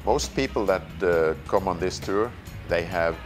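A man who leads the motorcycle tour, speaking in a documentary interview with measured pauses. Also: low voice, music in the background. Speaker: tour leader.

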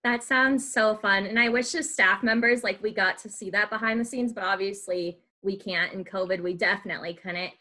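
A woman's voice: medium pitch, normal speed, few pauses, sharp tone. A young adult woman hosting a podcast, interviewing in a casual, chatty style. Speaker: podcast host and team staff member.

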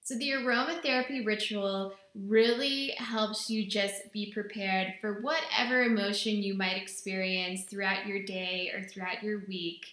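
A woman in her thirties talks to a camera from a small bare room, her tone bright and clear. She speaks slowly and gently, with easy enthusiasm. The room gives her voice a noticeable echo. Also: medium pitch, fairly even intonation. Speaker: brand representative presenting products. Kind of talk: product presentation to camera. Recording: echoey room.

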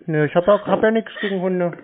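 A man answering phone calls in a terse, deadpan, mocking way. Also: high-pitched, quick pace, a crowd in the background. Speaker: call recipient, prank-answering scam calls. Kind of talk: phone conversation. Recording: tinny, narrow phone line.

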